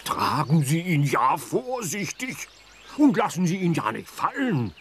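A little bear from a children's audio play, earnest, naive and caring. The voice is gravelly and slow, with big swings in pitch. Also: male voice, big pitch swings, fairly bright tone, exaggerated voice. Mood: worried, protective. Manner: protective, naive.

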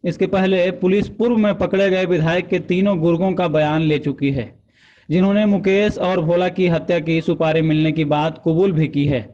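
A man's voice: sits high, fairly even intonation, normal speed, mellow. A man reading scripted news narration as a voice-over, on a dull and slightly noisy recording. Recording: dull lo-fi voice-over, faint noise.

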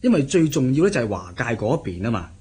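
Radio drama interpreter: chatty, self-assured male insider, animated delivery with a husky edge. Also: medium pitch, fast. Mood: confiding, pleased. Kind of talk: Cantonese radio drama acting.

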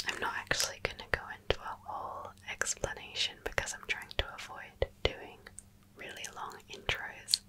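Female ASMR reader: breathy whisper, crisp bright sibilance, soothing, unhurried meditation-guide delivery. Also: low-quality recording. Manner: slow, sleepy, soothing delivery. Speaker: ASMR channel host.

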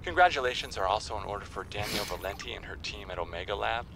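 A male corporate boss reading out company news in a game message, gravelly and fairly low. The delivery is upbeat but detached, over noisy game audio. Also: lively intonation, fast. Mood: briskly upbeat. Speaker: corporate executive announcing updates.

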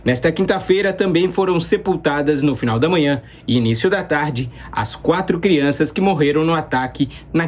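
Guy doing a news report voice-over, kinda high voice, formal and measured. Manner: measured, formal newsreader delivery.

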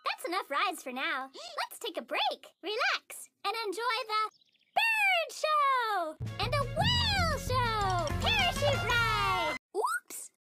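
A woman voicing a relentlessly cheerful, naive little schoolgirl in an animated cartoon, squeaky and childlike, gushing with sing-song delight. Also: full character voice. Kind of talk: animated TV series character acting. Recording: children's music behind the voice.

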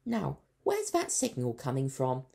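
A man's voice: mid-range voice, huge pitch swings, unhurried, creaky, mellow, pitched above the performer's own voice, heavily acted. A man voicing a clever female doctor who corrects the crew. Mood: self-assured. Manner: smart, self-assured.

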